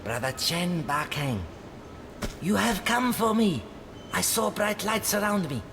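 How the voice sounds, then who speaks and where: A dying Tibetan monk in a video game, righteous and embittered. His high voice delivers stilted, halting English theatrically over a loud rumble. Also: sing-song, creaky, full character voice. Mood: dazed, hopeful. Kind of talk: dramatic video game cutscene acting.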